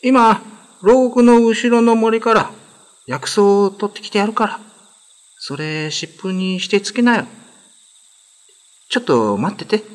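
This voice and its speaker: A man's voice: high-pitched, lively intonation, measured pace, long pauses, pitched above the performer's own voice. A man voicing a timid, kind-hearted prison guard in a radio drama, hesitant, humble and plain-spoken. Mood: gentle concern.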